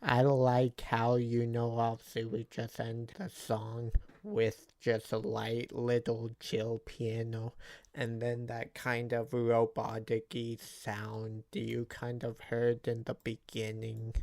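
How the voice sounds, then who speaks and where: A man with a fry-laden, gravelly voice reacts casually to music. He takes his time, wandering loosely through his thoughts and swearing now and then. Faint music plays behind him. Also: medium pitch, completely flat delivery, takes it slowly, a little mellow, no room sound. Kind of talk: casual off-the-cuff album reaction commentary. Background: music playing.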